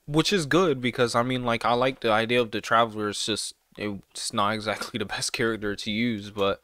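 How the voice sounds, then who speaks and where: A man's voice: lowish voice, fairly even intonation, a little fast, pushed and bright. A young man giving casual, slangy, exclamatory reaction commentary as host of a video, close to the mic. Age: young adult. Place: small home room. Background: game trailer music.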